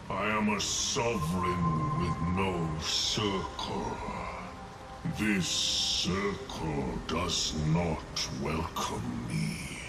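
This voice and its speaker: An ancient mushroom ruler, with an abyssally low, droning voice. Every pronouncement comes out extremely slowly, solemn and grim, as in a fantasy game. Loud music plays behind. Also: male voice, heavily acted. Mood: slow, mournful. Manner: slow, terse, ceremonial pronouncements. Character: ancient mushroom sovereign, grieving, vengeful, solemn. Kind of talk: video game voice acting.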